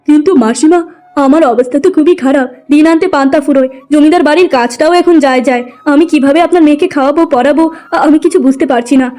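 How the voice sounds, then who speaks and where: A woman's voice: high voice, quick pace, dark tone, natural voice. A woman voicing a kind, earnest young man, a humble servant who is secretly a police officer, in a cartoon story. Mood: humble, hesitant. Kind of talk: cartoon moral story acting.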